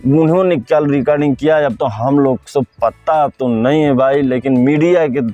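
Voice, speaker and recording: A man's voice: medium pitch, normal speed, warm tone, no echo, muffled recording, faint music behind. A man with a Bhojpuri-influenced Hindi accent giving informal gossip commentary, repeating himself and putting questions straight to viewers. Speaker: host of a film gossip video channel.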